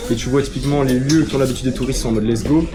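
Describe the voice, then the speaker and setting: A man's voice: mid-range voice, steady tone, very fast. A man chatting casually as a shop customer, slangy and often hesitating as he searches for words. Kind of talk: casual chat. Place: inside a thrift shop. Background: music.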